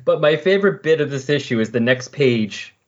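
A man talking casually and loosely on a comics podcast, thinking aloud as he explains, with a slightly husky voice. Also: medium pitch, lively intonation, a little fast. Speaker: podcast co-host discussing comics. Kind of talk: casual, rambling comics discussion. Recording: dull lo-fi voice recording.

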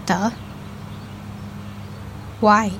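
An actress playing a skeptical thirteen-year-old girl in a radio play, terse, guarded and deadpan. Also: mid-range voice, drawn-out words, gravelly, slight background noise, full character voice. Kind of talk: naturalistic radio play acting.

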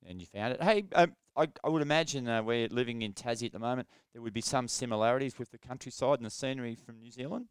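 A man's voice: medium pitch, clear, resonant tone, no echo. A man hosting a podcast and interviewing a guest in a chatty, enthusiastic way. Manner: chatty, enthusiastic, quick exclamations. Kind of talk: friendly interview.

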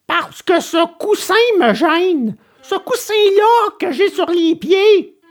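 Woman doing a squeaky high puppet kid, stubborn n whiny, storybook drama, music behind. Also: lively intonation, slow, exaggerated voice. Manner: whining, exclamatory, childish protests. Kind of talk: audio storybook acting.

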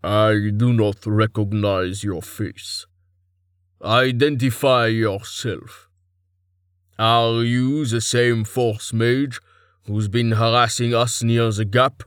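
A man's voice: mid-range voice, fairly even intonation, takes it slowly, smooth, dry recording, studio quality, lightly acted. A man voicing a stern, contemptuous god in an audiobook, commanding and patronizing in manner. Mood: disdainful, interrogating. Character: stern, contemptuous male god, imperious and disdainful. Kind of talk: audiobook character acting.

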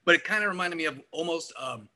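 A man's voice: a little high, lively intonation, quick pace, bright, forceful tone. A middle-aged man co-hosting a music podcast, talking casually and analytically with enthusiasm. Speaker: music review podcast co-host. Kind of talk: casual album discussion.

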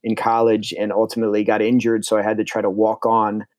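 A man's voice: lowish voice, monotone, quick pace, a little mellow, dry recording. A young man from Connecticut, a filmmaker being interviewed, talking casually and enthusiastically with frequent restarts. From Connecticut, USA. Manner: rambling, restarts often, enthusiastic. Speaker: filmmaker guest.

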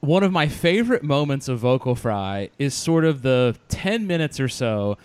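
A man hosting a podcast, chatting casually with quick asides and plugs. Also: mid-range voice, big pitch swings, clear, resonant tone. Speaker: podcast host.

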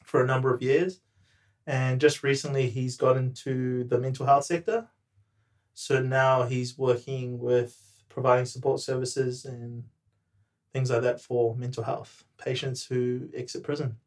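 A young man from New Zealand talks as a guest on a casual podcast. He speaks in a relaxed, hesitant way, full of ums, and laughs easily. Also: medium pitch, measured pace.

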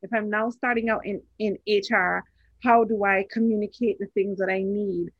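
A woman's voice: medium pitch, fairly even intonation, moderate pace, breathy edge, no room sound, lo-fi sound. A woman from Barbados hosting a podcast interview, earnest and given to repeating phrases for emphasis. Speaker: podcast host.

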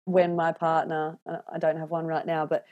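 Woman, 30s, Australian accent, lower voice, animated, candid, casual storyteller. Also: moderate pace, dull audio. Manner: animated, candid storyteller who swears freely and acts out voices. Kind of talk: casual podcast chat.

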